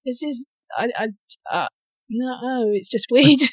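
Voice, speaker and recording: A woman's voice: fairly high pitch, lively intonation, takes it slowly, husky, no echo. A woman judging a comedy game on a podcast, blunt and dry in her verdicts. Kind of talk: casual podcast banter.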